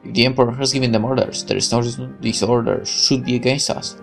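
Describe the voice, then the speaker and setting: A woman's voice: very deep voice, fairly even intonation, quick pace, gravelly, soft background music. A woman voicing a naive, idealistic young male soldier, earnest and questioning, in a visual novel game. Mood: troubled.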